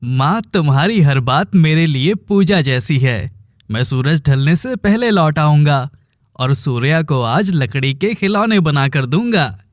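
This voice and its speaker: A man's voice: a little high, lively intonation, a little slow, no room sound, studio quality. A man voicing an earnest, brooding young village woodcutter in a melodramatic cartoon. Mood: tender, devoted.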